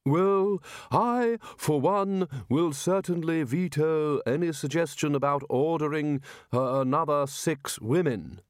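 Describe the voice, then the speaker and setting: A man playing a slow-witted, stuffy elderly wizard professor with a ponderous manner, in a storybook reading. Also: high-pitched, very animated, a little mellow, no room sound, clean studio sound, pitched above the performer's own voice, heavily acted. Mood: stuffy, firm. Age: older.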